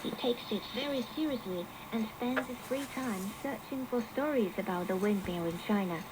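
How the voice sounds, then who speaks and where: A woman's voice: fairly high pitch, normal speed. A woman reading a scripted radio feature, heard through shortwave radio reception. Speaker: radio broadcast narrator. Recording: noisy shortwave radio reception, heavy static.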